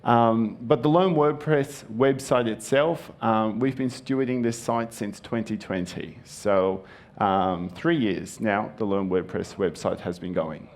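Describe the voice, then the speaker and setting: A man's voice: lowish voice, a little slow. A young man, a native Japanese speaker raised in Japan, giving an earnest, friendly conference presentation with frequent ums. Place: conference hall.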